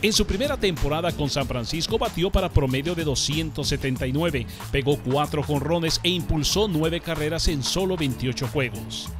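A man reading scripted documentary narration in a smooth, even manner. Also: a little high, normal speed. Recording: voice-over with music behind.

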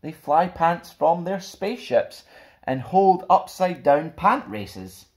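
A young man presenting and reading for children in an expressive storytime style, recorded indoors at about arm's length from the camera. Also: fairly high pitch, takes it slowly. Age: young adult. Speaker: storytime host reading a picture book. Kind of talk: expressive children's read-aloud with friendly presenting. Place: small plain indoor room.

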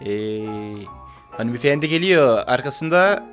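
A man's voice: medium pitch, animated, a little slow, fairly bright tone, faint music behind. A man in his twenties giving casual, slangy, jokey reaction commentary as a video host. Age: 20s. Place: small indoor room with brick-patterned wall.

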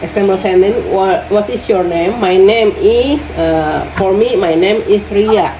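A woman from Indonesia with an Indonesian accent teaching English to young students in an online lesson. She speaks haltingly, repeats her questions and fills her pauses with "uh". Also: mid-range voice, warm tone, faint music behind. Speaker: English tutor. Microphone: lesson audio recorded on a phone. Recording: roomy phone recording with faint noise.

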